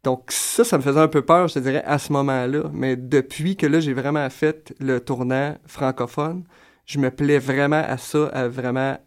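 A man's voice: mid-range voice, moderate pace. Young adult male musician, Quebec French accent, easygoing and reflective, loose conversational delivery. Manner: relaxed, thoughtful, with many fillers and colloquial Quebec turns. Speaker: singer-songwriter guest. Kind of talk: casual interview answers.

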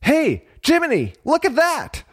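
A man's voice: sits high, huge pitch swings, normal speed, voice pushed up. A man voicing an eager, mischievous young puppet boy in a children's storybook. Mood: excited. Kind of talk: animated children's storybook character reading.

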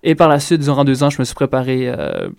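A man's voice: mid-range voice, big pitch swings, fast talker, gravelly, a little mellow, clear, resonant tone, dry recording, clean studio sound. A young man from Ontario, raised in Quebec, with a Quebec French accent, answering interview questions as a circus school graduate with frequent hesitations. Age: young adult. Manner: hesitant, many "euh" fillers, earnest.

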